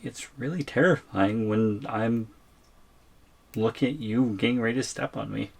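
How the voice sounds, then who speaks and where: A man's voice: mid-range voice, moderate pace, vocal fry. Guy doing tiny scared boyfriend in asmr rp, normal mid voice, hesitant, kinda pleading n protesting. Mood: pleading, uneasy. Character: tiny, nervous, wary captive boyfriend of a giantess. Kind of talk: ASMR audio roleplay acting.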